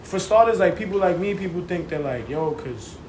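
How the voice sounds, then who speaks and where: A man's voice: high voice, expressive, fairly quick. A young man with a New York accent chatting casually in heavy slang, often checking that he is understood. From New York City. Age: young adult. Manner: slangy, frequent check-in tags. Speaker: podcast guest. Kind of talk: casual conversational interview. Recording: roomy echo, clear background noise.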